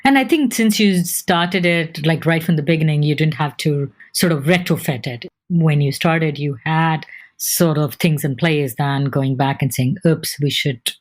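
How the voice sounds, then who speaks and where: A woman's voice: sits low, measured pace. A woman hosting a podcast, asking curious, prompting questions of her guests. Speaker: podcast host. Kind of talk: podcast interview.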